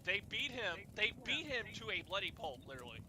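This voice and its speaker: A young man telling personal stories casually, in a halting way with repeated words and restarts. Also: sits high, very animated, background noise. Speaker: storyteller and host of a group chat.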